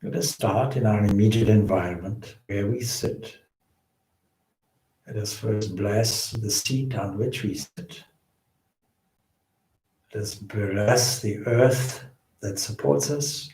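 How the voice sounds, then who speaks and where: Slow, low-voiced man guiding meditation. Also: mellow. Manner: measured, solemn phrasing with frequent pauses. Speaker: swami leading a guided meditation. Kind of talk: guided meditation. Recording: roomy, echoing indoor sound.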